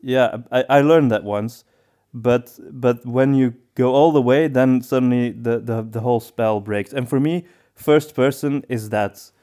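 A man with a mellow, soft-edged voice talks casually as a podcast co-host. His pitch sits in the middle for a man. He reasons aloud, often repeating and rewording as he goes. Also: unhurried. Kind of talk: casual discussion of storytelling.

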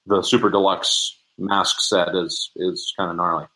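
Low-pitched man explaining in casual interview. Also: level tone, a little slow, pushed and bright, rough recording. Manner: explanatory, conversational. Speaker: interview guest, audio drama producer.

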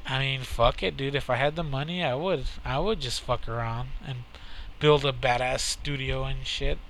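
A man's voice: mid-range voice, moderate pace, a bit bright, slight background noise, low-quality recording. A man in casual, profane podcast banter, joking and mocking. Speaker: podcast co-host. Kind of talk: casual chat.

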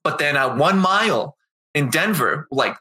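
Laid-back young singer. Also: male voice, fairly high pitch, animated, unhurried, pushed and bright. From outside Boston, Massachusetts. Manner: laid-back, rambling, full of "you know" and "right?". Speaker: guest, singer. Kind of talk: casual interview chat.

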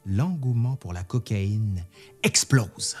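A man presenting history in scripted narration, reading out quotations in an articulate, expressive way. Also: fairly low pitch, takes it slowly, husky, faint music behind.

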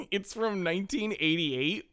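Playful, clear-voiced man on a podcast. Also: high voice, fairly bright tone. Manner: playful, giggly, jokey. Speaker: podcast co-host. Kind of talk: casual banter between co-hosts.

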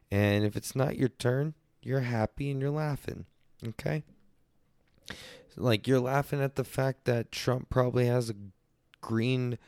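A man's voice: fairly low pitch, a little mellow, clear, resonant tone, no room sound, studio quality. A man hosting a crude solo comedy podcast, rambling loosely and swearing freely. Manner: profane, loose and rambling, mock-defensive. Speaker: podcast host.

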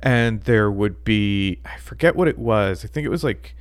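A man co-hosting a podcast in casual chat, talking haltingly with many ums and restarts. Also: on the low side, animated, clean tone, no echo. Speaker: podcast co-host.

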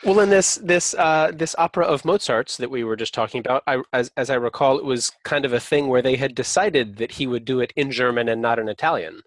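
A man's voice: medium pitch, brisk, few pauses, no echo. A man hosting a podcast and chatting casually, rambling with frequent restarts and light laughs. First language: English. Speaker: podcast host.

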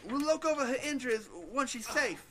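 A man's voice: very high voice, a little fast. A man playing a hesitant, folksy, helpful survivor in a zombie audio drama, stammering as he speaks. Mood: urgent.

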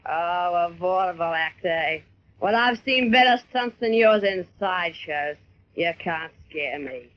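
Nervous brassy dame, hard-boiled, voice jumps all over, muffled old radio. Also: female voice, lowish voice, expressive, unhurried, dry recording. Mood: nervy defiance. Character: frightened, hard-boiled, slangy female drinker marked for murder. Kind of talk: 1940s radio drama acting. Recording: muffled vintage radio recording.

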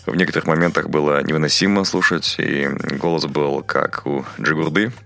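A man hosting a podcast, speaking in a measured, earnest way while getting over a cold. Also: a bass voice, fairly even intonation, gravelly, pushed and bright. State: recovering from a cold. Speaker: podcast host. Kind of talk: podcast intro and interview questions.

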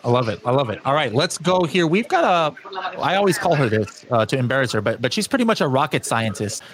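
A man's voice: medium pitch, big pitch swings, fairly quick, background noise. A man hosting a podcast in casual interview chat, rambling with many fillers and restarts. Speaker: podcast host.

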